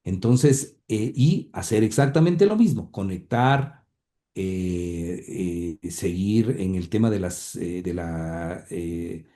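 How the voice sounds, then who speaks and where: A man's voice: low-pitched, expressive, slow pace, dark tone. A man from Ecuador, recounting his grandfather's life as an interview guest, hesitant with many fillers and restarts. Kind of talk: conversational storytelling.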